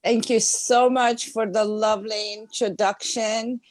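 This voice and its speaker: A woman's voice: on the high side, steady tone, unhurried, no room sound. A middle-aged woman from Hungary, a guest speaker telling her personal story in an earnest way on a talk show.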